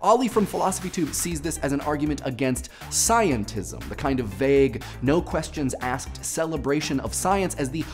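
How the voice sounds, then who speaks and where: An online video host narrating a scripted explainer with quick, wry asides. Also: mid-range voice, expressive, soft background music.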